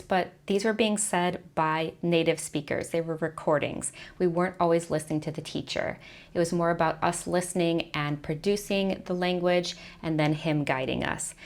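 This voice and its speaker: A young adult woman coaching online teachers, giving clear, step-by-step advice straight to the camera. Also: on the low side. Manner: clear, explanatory, list-like delivery. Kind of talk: scripted advice video to camera.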